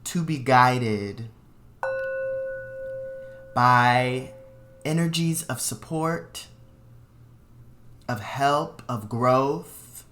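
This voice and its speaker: A meditation guide with a mid-pitched voice leads a guided session at a very slow pace. The delivery is soothing, with short phrases and long pauses. Clear music with singing bowls plays behind the voice. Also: a bit bright.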